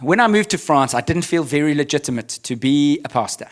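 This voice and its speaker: South African pastor preaching in English. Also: male voice, fairly high pitch, lively intonation, moderate pace. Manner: candid, self-deprecating storytelling. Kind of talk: sermon with live interpreter.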